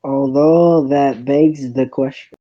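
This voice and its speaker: Man, slightly low voice, first-person story narration, halting read-aloud delivery, dull lo-fi audio. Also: steady tone. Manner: halting, stumbling over words while reading. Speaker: visual novel reader. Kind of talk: narrated first-person reading of a visual novel.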